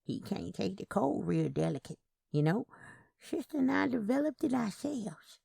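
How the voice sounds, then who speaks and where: A woman's voice: medium pitch, big pitch swings, moderate pace, gravelly, warm tone, slightly rough, dry recording, studio quality, voice pushed up, full character voice. A woman voicing a dainty old Southern aunt in a story, speaking coyly and delicately. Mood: coy, tender. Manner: coy, delicate.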